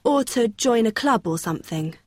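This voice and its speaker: A woman's voice: a little high, animated, moderate pace, no echo, studio quality. A young woman playing a friendly, helpful student union helper who explains things in an acted everyday dialogue. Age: young adult.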